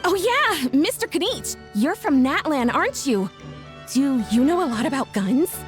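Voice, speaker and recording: A woman's voice: sits high, very animated, measured pace, faint music behind. A woman voicing an earnest, modest young female event organizer in a game, easily flustered and effusive. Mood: earnest, chatty. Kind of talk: English game dub acting.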